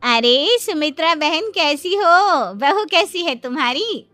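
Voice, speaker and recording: A woman's voice: high voice, animated, takes it slowly, bright, forceful tone, dry recording, soft background music, exaggerated voice. A woman voicing a chatty elderly lady friend in a cartoon family drama. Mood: friendly.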